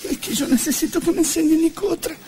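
Chica ingenua con voz agudísima. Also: male voice, very high voice, a little fast, background noise. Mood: plaintive. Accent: Argentine. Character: naive, whiny teenage girl piano student. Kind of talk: comedic radio play acting.

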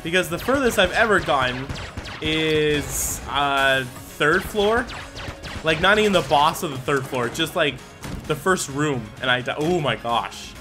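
A young man giving casual, excitable commentary while playing a video game, often exclaiming and stumbling over his words. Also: fairly high pitch, a little slow. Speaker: gaming YouTuber. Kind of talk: casual let's play commentary. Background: game music.

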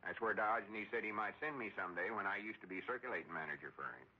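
An actor playing a self-important, grumbling old storekeeper with a folksy rural Southern American drawl, in radio comedy style. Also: male voice, lowish voice, racing, husky. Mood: aggrieved. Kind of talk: 1930s-40s radio comedy acting. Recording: dull old radio recording.

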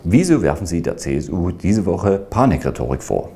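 A man's voice: deep voice, very animated, normal speed, dark tone. A man reading out short, scripted interview questions.

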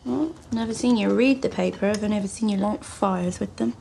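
An actress playing a headstrong, defiant young woman with a slightly gravelly voice. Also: mid-range voice, mellow, slight background noise, lightly acted. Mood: chatty. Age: young adult. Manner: quick, chatty, defiant. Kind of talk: period TV drama.

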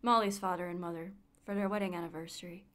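A woman's voice: fairly low pitch, steady tone, fast, fairly bright tone, lightly acted. An actress playing a weary, candid woman, delivering a confiding, wry monologue in a radio play. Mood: wistful, reflective. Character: Molly, weary, candid woman recovering partial sight.

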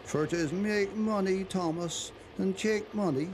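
A man with a Dorset accent reciting dialect poetry as an expressive, emphatic storyteller. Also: sits high, measured pace. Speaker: poetry reader. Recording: noticeable background noise, 1990s television soundtrack.

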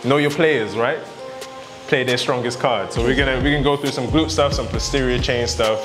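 A man's voice: mid-range voice, moderate pace. Young guy hosting a gym vlog, jokey and upbeat, coaching on a lapel mic, music under it. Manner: joking, upbeat, coaching as he exercises. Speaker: fitness vlog host. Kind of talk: casual workout vlog chat. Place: home gym, indoors.

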